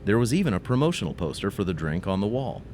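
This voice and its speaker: A man's voice: lowish voice, sing-song, quick pace, darkish tone, slight background noise. A man narrating a scripted audio story in a dramatic, slightly wry manner.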